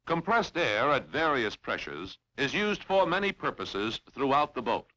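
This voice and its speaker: Bright-voiced man narrating formally. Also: medium pitch, very animated, unhurried, poor audio. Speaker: training film narrator. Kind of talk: scripted instructional narration.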